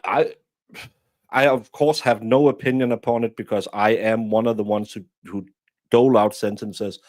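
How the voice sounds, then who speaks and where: A man's voice: on the low side, dry recording. A man from Denmark, a guest commentator chatting bluntly with many restarts. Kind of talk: casual commentary chat.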